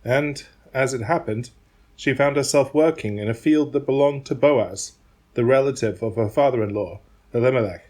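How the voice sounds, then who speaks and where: Mid-pitched male Bible narrator. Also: moderate pace, darkish tone.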